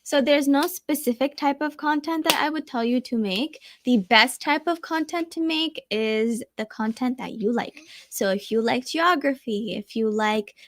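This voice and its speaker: A woman's voice: sits high, normal speed. A woman hosting a live call-in, speaking politely and courteously to callers. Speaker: live show host.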